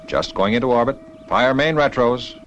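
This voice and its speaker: An actor playing a steady, heroic spaceship pilot in a vintage science fiction puppet series, with clipped, decisive phrasing. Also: male voice, moderate pace, highs cut off, natural voice. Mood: brisk, confident. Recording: vintage TV soundtrack, loud music and engine rumble.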